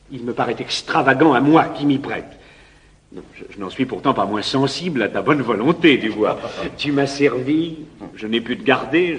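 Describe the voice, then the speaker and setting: A man playing a nervous young gentleman in love in a classic stage comedy, earnest and hesitant. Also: mid-range voice, natural voice. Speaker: actor in radio play.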